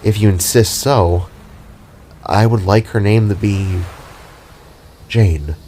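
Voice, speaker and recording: A man's voice: fairly low pitch, steady tone, slow, mellow, a little hiss or hum. A man voicing an affectionate alien father-to-be in a fantasy audio drama, casual and earnest. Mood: affectionate, hopeful. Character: affectionate male alien spark, devoted expectant father.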